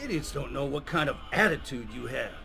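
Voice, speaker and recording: Gung-ho American drill-sergeant coach, played by a male actor, bombastic and proud, wide-swinging pitch, game dialogue over music. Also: animated, a little fast, gravelly. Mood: approving, gruff, familiar. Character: gruff, gung-ho vault military coach, patriotic man. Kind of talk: scripted video game character acting. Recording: music behind the voice.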